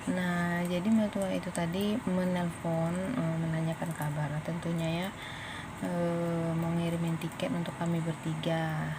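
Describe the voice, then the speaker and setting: A woman's voice: lowish voice, little pitch movement, a little slow. A woman from Indonesia with an Indonesian accent, telling a story casually and chattily to her viewers as a vlogger. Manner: conversational, addresses viewers as friends. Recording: noisy, with vehicle and ambient sound.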